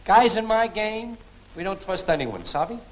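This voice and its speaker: A man's voice: high-pitched, animated, moderate pace. A man playing a jumpy, brusque gangster henchman in a 1940s film serial, with slangy talk, on an echoey, noisy old soundtrack. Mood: brusque, suspicious. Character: jumpy, suspicious gangster henchman, tough adult male.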